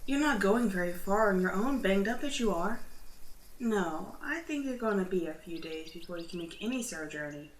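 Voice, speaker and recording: A woman voicing a gently teasing, motherly drider, a spider woman of the forest, in an ASMR roleplay. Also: medium pitch, lively intonation, normal speed, natural voice. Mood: firm, caring. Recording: roomy echo, audible background noise.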